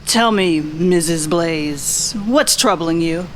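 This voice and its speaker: A woman's voice: fairly low pitch, slow, a little hiss or hum. A woman playing a world-weary, wry 1940s private detective, narrating in a folksy, slangy noir radio style. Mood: wry, jaded. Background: music.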